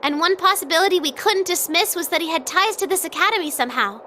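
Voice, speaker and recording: Very high, perky young girl agent. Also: animated, fast talker, pushed and bright, a little hiss or hum, heavily acted. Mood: matter-of-fact. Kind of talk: anime-style game voice acting.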